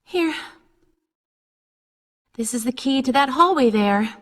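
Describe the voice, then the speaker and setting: A woman's voice: high voice, lively intonation, takes it slowly, a bit bright, exaggerated voice. A woman playing a teasing, chatty teenage schoolgirl in a video game. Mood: matter-of-fact.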